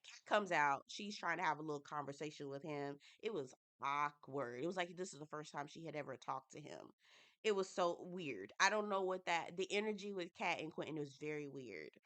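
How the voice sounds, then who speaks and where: A woman with a stuffy head cold reviewing a reality TV show for her channel in a lively, casual, slang-filled way, talking straight to her viewers. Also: on the low side. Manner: animated, playful, Southern-inflected slang, addresses viewers as y'all.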